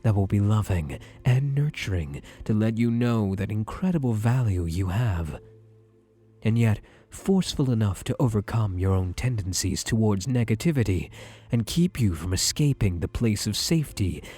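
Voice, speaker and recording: A man playing a possessive, doting therapist in an ASMR roleplay, speaking in a soothing, deliberate, hushed way with breathy murmurs. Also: on the low side, lively intonation, faint music behind. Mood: reassuring, possessive. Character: possessive male therapist, softly controlling, doting and obsessive.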